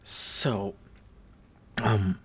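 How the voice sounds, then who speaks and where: A man voicing a shy, smitten young college student in a roleplay audio, halting and flustered as he talks. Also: on the low side, little pitch movement, a little hiss or hum. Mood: nervous, stammering. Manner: halting, flustered stammering with nervous laughs.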